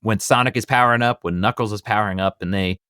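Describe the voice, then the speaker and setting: Low-voiced man on a dry podcast mic. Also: steady tone, fast, bright, forceful tone, clean tone, no echo. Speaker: podcast co-host. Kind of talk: casual movie discussion podcast.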